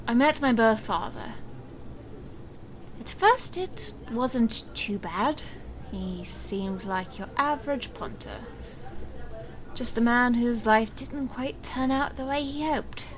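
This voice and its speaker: A resolute, guarded woman magician, played by an actress in an audio drama. Her voice sits a little high and she speaks in a grave, measured way. Faint noise runs under the recording. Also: a bit bright. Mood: reluctant, confessional. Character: resolute, guarded woman stage magician facing her murderous birth father.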